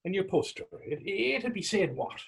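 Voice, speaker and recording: A man playing Tommy, a talkative, gentle and wry Irish former fisherman, in staged readers theater dialogue with a folksy, halting storytelling lilt. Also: high-pitched, lively intonation, creaky, breathy edge, exaggerated voice. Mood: curious, cautious.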